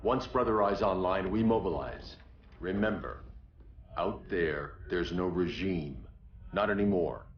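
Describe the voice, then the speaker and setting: An actor playing a stern, brooding vigilante leader in a video game, giving terse, grave orders. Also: male voice, low voice, unhurried. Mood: grave resolve. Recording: dull, echoey, faint noise.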